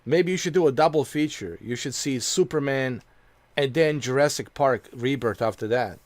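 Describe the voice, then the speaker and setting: A man talking casually while he streams a game, meandering from thought to thought with plenty of fillers and restarts. His voice sits at a middling pitch, and the sound is dry and close with no room echo. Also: moderate pace. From Bosnia. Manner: rambling, repeats himself, lots of fillers. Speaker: gaming streamer. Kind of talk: casual chat while playing.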